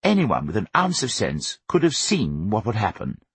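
A man's voice: fairly low pitch, huge pitch swings, moderate pace, a bit bright, slightly rough, no room sound, exaggerated voice. A man voicing a middle-aged barrister father in a storybook, mild and sardonic, with courtroom rhetoric. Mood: exasperated.

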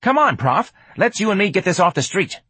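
A man's voice: sits high, big pitch swings, brisk, sharp tone, slightly rough, no echo, pitched above the performer's own voice, full character voice. A man voicing a cocky, streetwise young male hustler who wheedles in casual slang for an audiobook. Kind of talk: audiobook character acting.

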